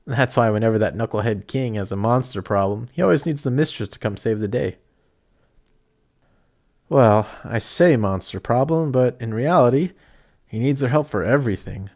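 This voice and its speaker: A young man playing a kindhearted apprentice sorcerer in an audio roleplay, chatty, excitable and easily flustered, with some stammering. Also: mid-range voice, normal speed, close to an everyday voice. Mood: friendly, proud. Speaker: voice actor. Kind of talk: amateur audio roleplay acting addressed to the listener.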